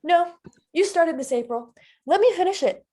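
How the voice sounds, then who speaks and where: A woman's voice: extremely high pitch, expressive, normal speed, a little mellow. A woman reading the part of a fed-up teenage girl confronting her friends. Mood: indignant. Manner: indignant, confrontational. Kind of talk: table read of a teen drama.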